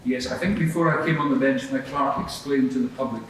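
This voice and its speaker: A man playing a brisk, authoritative judge who gives formal procedural instructions from the bench. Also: mid-range voice, lightly acted. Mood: official. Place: large echoing courtroom. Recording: very echoey hall, distant, noisy.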